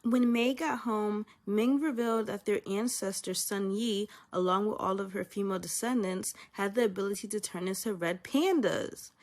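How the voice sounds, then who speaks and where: A woman teacher reading a children's storybook aloud in a soft, hushed narration. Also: mid-range voice, moderate pace, hardly any pauses.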